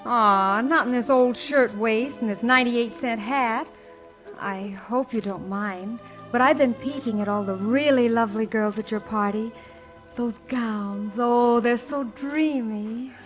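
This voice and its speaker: Actress as a high-voiced, dreamy young shop girl. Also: measured pace, soft background music, lightly acted, rough recording. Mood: wistful, dreamy. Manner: wistful, breathless gushing, guileless. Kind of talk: 1950s musical radio drama acting. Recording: old 1950s radio broadcast.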